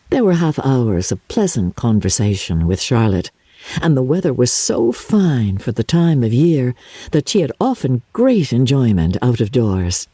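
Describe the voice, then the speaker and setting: An older woman with a deep, husky voice reads classic fiction as a measured, theatrical, expressive narrator. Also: dry recording. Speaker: audiobook narrator. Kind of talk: dramatic literary narration.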